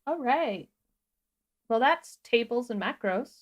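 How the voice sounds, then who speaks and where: A woman's voice: on the high side, animated, slow pace. A woman co-hosting a livestream tutorial, talking in an enthusiastic and informal way as she explains things. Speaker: livestream co-host. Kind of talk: casual software tutorial chat.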